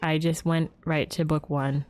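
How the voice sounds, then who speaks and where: Lower-pitched woman chatting on a livestream. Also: flat delivery, unhurried, darkish tone. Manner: giggly, rambling. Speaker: game livestreamer. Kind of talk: casual chat with stream viewers. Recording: game music behind the voice.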